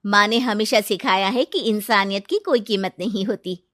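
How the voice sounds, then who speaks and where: A gentle, caring motherly woman with a soft, kind delivery, as the narrator puts her on. Her voice sits at an everyday pitch and is recorded dry and close. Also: normal speed, no room sound, close to an everyday voice.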